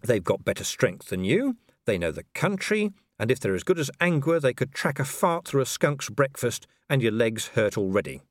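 A man's voice: mid-range voice, sing-song, normal speed, hardly any pauses, no echo, natural voice. A man voicing a cornered but defiant city watchman in an audiobook, dry, sarcastic and needling. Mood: wry worry.

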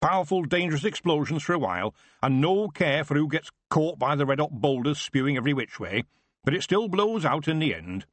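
A wise, plain-spoken old stable hand from a storybook reading, with homely rustic turns of speech and a slightly husky tone, as a male narrator puts him on. The sound is dry and close. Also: a little high, big pitch swings, brisk, dry recording, voice pushed up. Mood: wry.

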